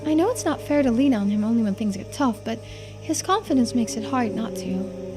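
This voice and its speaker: A high-voiced, earnest young woman in a story game, played by a woman reader. She speaks politely and softly, with an apologetic hesitancy. Music plays underneath. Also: expressive, brisk, close to an everyday voice. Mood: hesitant, thoughtful. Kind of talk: voicing game dialogue in a playthrough.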